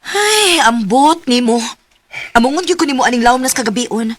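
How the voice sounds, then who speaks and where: A woman's voice: high-pitched, animated, normal speed, over loud music. An actress playing a frank, protective woman confidante in a radio drama, speaking insistently with a Cebuano Filipino accent. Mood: exasperated. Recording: faintly noisy radio drama track.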